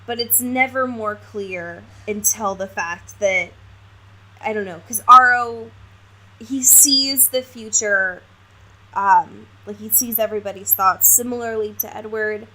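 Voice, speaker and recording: A woman's voice: mid-range voice, a little slow, bright, forceful tone, poor audio. A woman co-hosting a podcast in casual chat, talking in an emphatic, slangy, sweary way. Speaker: podcast co-host. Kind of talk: casual book-recap chat.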